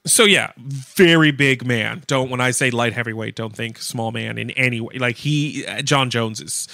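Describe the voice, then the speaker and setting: A man with a bright, forward voice at an ordinary male pitch, recorded dry and close. He talks like a radio co-host in loose conversation, explaining things bluntly and colloquially. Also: moderate pace, creaky, dry recording. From Canada. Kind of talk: casual chat, informally explaining.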